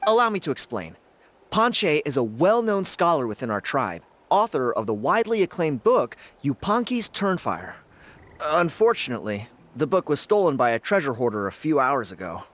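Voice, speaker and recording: A man's voice: a little high, very animated, normal speed, a bit bright. An actor playing a friendly, enthusiastic young tribesman in a video game, with an emphatic, effusive manner. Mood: sympathetic.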